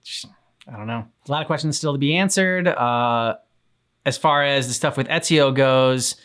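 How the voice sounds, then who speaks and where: Male reaction co-host, medium pitch, casual, halting delivery that trails off. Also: fairly bright tone, clear, resonant tone. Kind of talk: casual chat reacting to game cutscenes.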